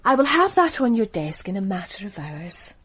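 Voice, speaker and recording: A woman's voice: mid-range voice, sing-song, moderate pace, fairly bright tone, slight background noise. An actress playing a cool, efficient, glamorous young woman with a composed, precise manner in a radio drama.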